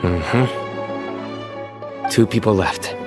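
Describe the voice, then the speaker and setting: A man voicing a calm, stoic young man in a video game, terse and deadpan. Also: low voice, extremely slow. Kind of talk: acted video game dialogue. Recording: loud music behind voice.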